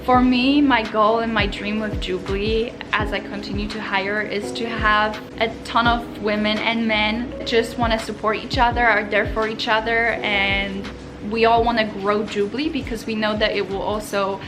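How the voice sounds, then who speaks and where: A woman whose first language is Polish, running her own clothing brand, answers viewer questions in an earnest, upbeat vlog voice. Her voice sits at a middle pitch. Background music plays clearly beneath her talk. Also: little pitch movement, normal speed. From Poland. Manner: earnest, upbeat advice-giving. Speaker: vlogger and clothing brand founder.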